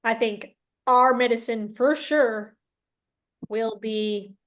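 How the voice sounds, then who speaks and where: A woman hosting an informal interview, chatting in an animated, giggly way. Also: a little high, takes it slowly. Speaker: interview host. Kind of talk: casual chat.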